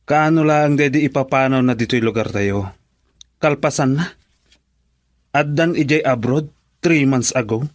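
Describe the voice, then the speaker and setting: A man's voice: mid-range voice, measured pace, long pauses, no echo, studio quality, close to an everyday voice. A man playing a male friend who urgently advises his buddy, in Ilocano-accented radio drama dialogue. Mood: insistent.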